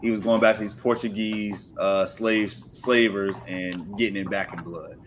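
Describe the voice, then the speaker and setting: Male football podcast guest, low voice, colloquial storyteller, faint noise. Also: fairly even intonation, unhurried. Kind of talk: casual sports podcast storytelling.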